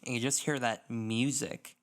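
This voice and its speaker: A man's voice: mid-range voice, fairly even intonation, measured pace. A man co-hosting a casual gaming podcast, talking enthusiastically and giving emphatic opinions. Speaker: podcast co-host.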